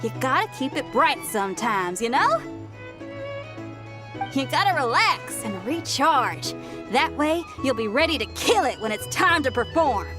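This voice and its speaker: A woman voicing an upbeat young female rock musician who talks in a slangy, energetic, laid-back way, in video game dialogue. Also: high voice, unhurried, over music.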